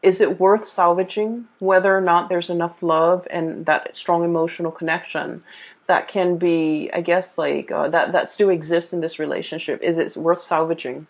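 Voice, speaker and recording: A woman giving a casual off-the-cuff tarot reading, explaining things in a halting, hesitant way. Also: lowish voice, steady tone. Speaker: tarot reader. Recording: dull, muffled lo-fi sound.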